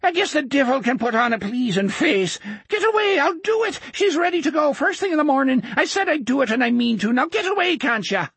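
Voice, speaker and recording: A man's voice: lively intonation, fairly quick, hardly any pauses, a bit bright, no room sound, exaggerated voice. A man voicing a frightened old male depot keeper who shrieks and trembles. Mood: frightened shrieking. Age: older. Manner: shrill, trembling, hysterical.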